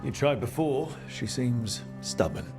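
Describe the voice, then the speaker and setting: An actor playing a wry, reassuring young adventurer leader in a video game, casual and plain-spoken. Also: male voice, medium pitch, big pitch swings, takes it slowly. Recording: music behind the voice.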